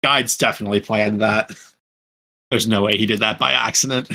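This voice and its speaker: A man with a fairly low voice and a bright, forward edge talks casually among fellow podcast panelists. He rambles, backs up to correct himself and peppers his sentences with "like". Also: steady tone, fairly quick, vocal fry. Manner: rambling, self-correcting, frequent "like". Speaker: podcast panelist and fan dub voice actor. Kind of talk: casual group chat.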